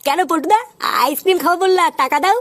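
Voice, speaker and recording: Man doing a squeaky, cheeky young cartoon sidekick. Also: fast talker, fairly bright tone. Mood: curious. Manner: casual, questioning. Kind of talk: comic cartoon dub.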